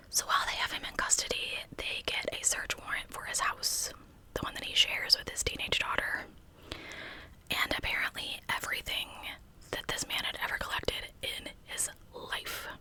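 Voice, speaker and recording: A woman's voice: pushed and bright, low-quality recording. A woman whispering a true crime story in ASMR style as a channel host, with conversational asides to listeners.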